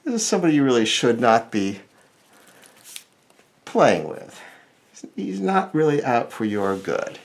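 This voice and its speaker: A middle-aged American man teaches drawing in a casual, informal way. His pitch moves around in a lively fashion, and there is a lot of gravelly fry in his voice. He thinks aloud as he goes, step by step. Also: unhurried, pauses often. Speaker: cartoonist, drawing instructor.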